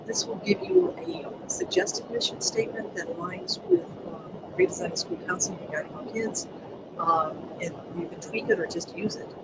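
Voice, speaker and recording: A presenter running an online training session in a soft voice that doesn't carry far. The pitch rises and falls across a very wide range, and the talk stops often for ums. Heavy background noise sits under the computer-mic recording. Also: sing-song. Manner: soft voice that does not carry, halting with many ums. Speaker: webinar presenter.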